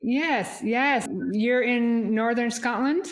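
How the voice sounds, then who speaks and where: A woman's voice: a little high, slow pace, low-quality recording. A woman hosting a podcast, talking in a chatty way and often repeating words as she looks for the right one. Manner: chatty, repeats words while searching for them. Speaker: podcast host.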